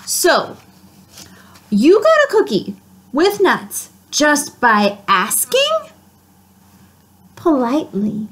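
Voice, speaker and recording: A woman's voice: high-pitched, wildly swooping pitch, very slow, long pauses, fairly bright tone, slight background noise, full character voice. A woman voicing a dramatic, whiny pigeon in a storybook, complaining and wailing. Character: whiny, dramatic pigeon who always asks.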